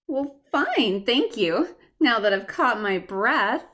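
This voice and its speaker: A woman's voice: on the high side, sing-song, takes it slowly, a bit bright, exaggerated voice. A woman playing an effusive, polite society lady in a 1950s-style detective radio play, with a mannered delivery. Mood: gushing.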